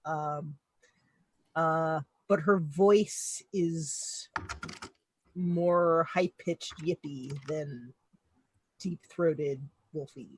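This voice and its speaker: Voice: medium pitch, very slow, long pauses, dry recording, low-quality recording. A player in a tabletop game, talking casually with playful asides. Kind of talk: casual actual-play chat.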